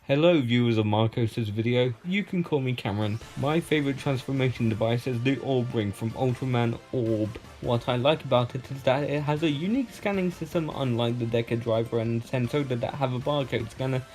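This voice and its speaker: A man's voice: mid-range voice, a little fast, few pauses, a little mellow, faint music behind. A man presenting a scripted review as a guest contributor to a countdown video.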